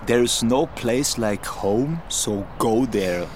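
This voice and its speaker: An actor playing a sarcastic, jokey, needling police inspector in a German radio crime drama. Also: male voice, mid-range voice, slow pace, slight background noise, close to an everyday voice. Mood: mocking. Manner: sarcastic, provocative.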